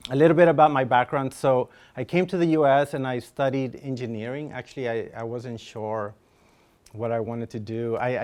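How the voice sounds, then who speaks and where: A man from Honduras speaking as a guest artist in an informal lecture, hesitant, humble and candid, with a smooth, clear voice. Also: mid-range voice. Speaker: fine-art photographer, guest speaker.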